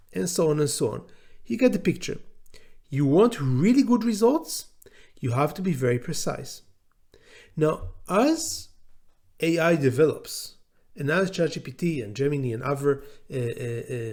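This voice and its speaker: A man whose first language is Hebrew, teaching a class in a measured, deliberate way, pausing often and repeating words as he thinks. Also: a little high, a little mellow. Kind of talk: recorded lecture to students.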